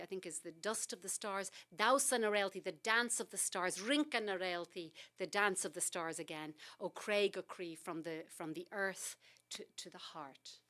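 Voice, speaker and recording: A woman poet reading her poems aloud and explaining them, soft and halting between lines and more expressive in the verse. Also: mid-range voice. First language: English. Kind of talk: poetry reading on a talk show.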